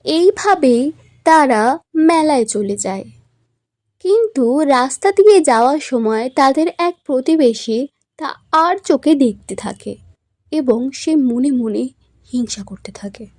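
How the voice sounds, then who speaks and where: A woman's voice: high voice, expressive, a little slow, a little mellow, no echo, studio quality. A woman narrating a scripted cartoon story as its storyteller.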